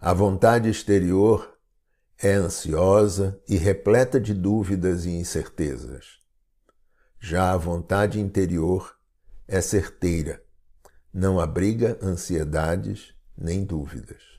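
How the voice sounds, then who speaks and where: A Brazilian man narrating a guided meditation in a calm, soothing, deliberate manner. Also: sits low.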